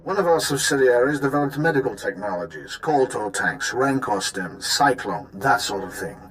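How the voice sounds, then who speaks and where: A man's voice: mid-range voice, lively intonation, normal speed, a bit bright, slight background noise, lightly acted, low-quality recording. An actor playing a pompous, wealthy and shady businessman who is imperious and easily panicked, in a video game. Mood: grudging confession. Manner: imperious, blustering.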